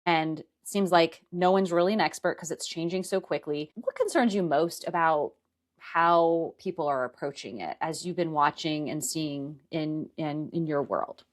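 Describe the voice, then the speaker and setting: Woman in her forties, somewhat low voice, podcast host, measured questions, affirming listener. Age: 40s. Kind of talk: podcast interview.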